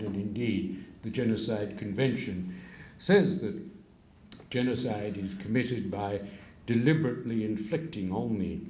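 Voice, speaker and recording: An older man giving a keynote lecture from a lectern, measured and pausing, with wry asides. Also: on the low side, dark tone, slight background noise. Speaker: keynote speaker. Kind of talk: public lecture. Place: stage of a large hall. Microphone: stand mic at a lectern. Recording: echoey hall sound.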